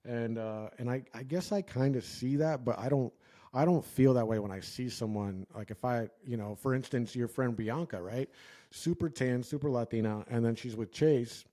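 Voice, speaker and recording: Mellow-voiced man rambling casually. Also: mid-range voice, moderate pace. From United States. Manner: rambling, joking, many fillers and restarts. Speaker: podcast co-host. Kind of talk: casual chat.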